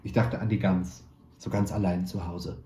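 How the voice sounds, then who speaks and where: A man reading a short story aloud in a calm, measured way, lightly voicing the dialogue. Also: sits low, roomy sound. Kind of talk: literary reading.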